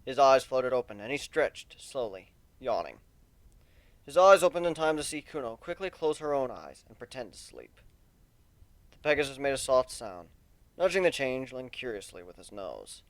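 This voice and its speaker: A man's voice: mid-range voice, very animated, normal speed, dry recording. A man narrating a story as a dramatic reading. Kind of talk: dramatic reading of fan fiction.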